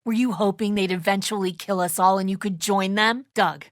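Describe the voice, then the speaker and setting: A woman's voice: mid-range voice, moderate pace, no room sound, studio quality, natural voice. An actress playing a tense, suspicious woman survivor of a zombie apocalypse in a video game, blunt and accusatory in manner. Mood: urgent, accusing. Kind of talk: dramatic video game acting.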